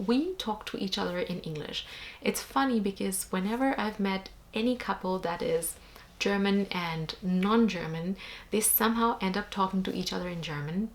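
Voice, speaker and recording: A young woman from India with an Indian accent, explaining things earnestly to camera in a casual vlog. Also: medium pitch. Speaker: vlogger.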